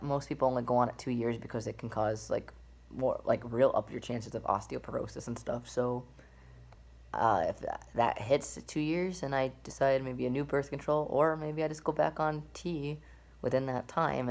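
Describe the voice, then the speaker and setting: A man's voice: medium pitch, vocal fry. A young man in his twenties rambling casually to a webcam in a small bedroom, with many fillers and restarts. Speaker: vlogger. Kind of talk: casual personal vlog.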